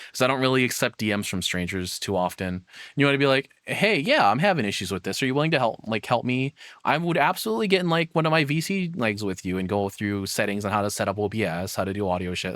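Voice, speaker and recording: A man's voice: lowish voice, a bit bright, dry recording, clean studio sound. A man streaming a casual, opinionated rant, rambling and self-deprecating as he chats with viewers. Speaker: streamer and VTuber host.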